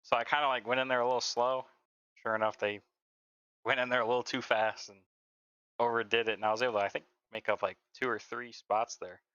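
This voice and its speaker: A man, a sim racing driver, talking casually and haltingly about his race over voice chat. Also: medium pitch, clear, resonant tone, no echo. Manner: relaxed, halting, lots of "like" and "uh". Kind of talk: casual post-race interview. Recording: dry, dull voice-chat audio.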